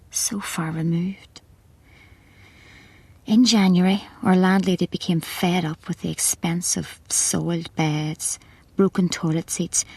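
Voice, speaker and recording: Actress as a gravelly, low-voiced sombre narrator woman. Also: no room sound, full character voice. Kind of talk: scripted radio play narration.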